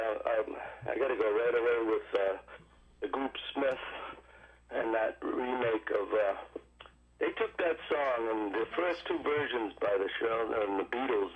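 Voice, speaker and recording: A male radio caller with a cold, rambling casually with many fillers. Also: mid-range voice. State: has a cold, froggy. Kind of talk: casual call-in chat. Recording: muffled phone line.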